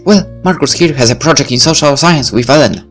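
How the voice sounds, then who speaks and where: Helpful teen schoolgirl for a visual novel, acted by a man, raised pitch, friendly, coaxing, faint music behind. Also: a little fast, a bit bright. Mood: persuading. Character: eager, helpful, cheerful teenage schoolgirl, persuasive.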